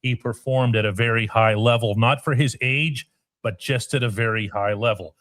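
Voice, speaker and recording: A man's voice: medium pitch, steady tone, normal speed, fairly bright tone, no echo. A man hosting a live sports podcast, reading viewer comments in a firm, emphatic way. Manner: firm, emphatic, repeats himself for stress.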